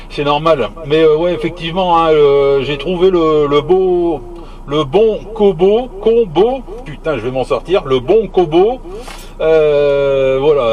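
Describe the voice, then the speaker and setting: A man's voice: fairly high pitch, a little mellow, lo-fi sound. A man chatting casually over CB radio as a hobbyist, searching for words and repeating himself.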